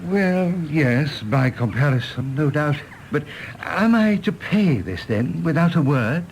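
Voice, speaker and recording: A man's voice: on the high side, expressive, measured pace, slight background noise, poor audio. A man playing a fussy, genial, deferential old English family retainer in a radio drama, courteous and hesitant, with an English accent. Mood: worried, fussy. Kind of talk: British stage-play radio drama acting.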